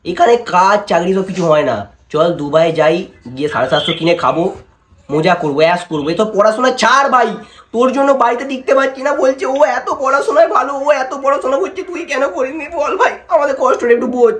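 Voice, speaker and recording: A man's voice: very high voice, quick pace, fairly bright tone. A young man with a West Bengali accent chatting to viewers and making prank calls in a playful, mock-dramatic, pleading manner. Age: young adult. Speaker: prank-calling vlogger. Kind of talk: casual vlog chat and prank phone calls.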